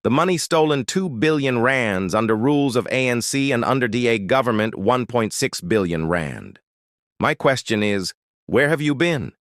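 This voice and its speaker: Man, middle pitch, confrontational news read, dry close sound. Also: animated, normal speed, fairly bright tone, no room sound. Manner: confrontational, rhetorical questions. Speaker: news presenter. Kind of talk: scripted news commentary.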